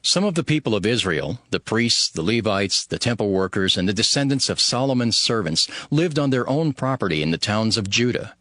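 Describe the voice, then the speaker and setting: A man reading scripture as a scripted audio Bible narration, in a measured, formal way. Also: on the low side, sing-song, a bit bright, no echo, clean studio sound. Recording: music behind the voice. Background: background music.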